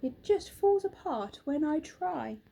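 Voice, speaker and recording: A woman voicing a kind little female owl in a storybook, gentle and friendly. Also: sits high, lively intonation, slow, creaky, pitched above the performer's own voice, exaggerated voice.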